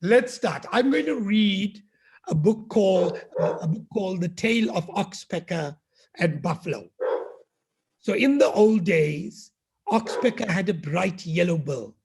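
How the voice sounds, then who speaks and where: A middle-aged man with a gruff, scratchy voice, reading a children's story aloud and chatting with the kids. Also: high-pitched, expressive, unhurried, darkish tone. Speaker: university head reading to children.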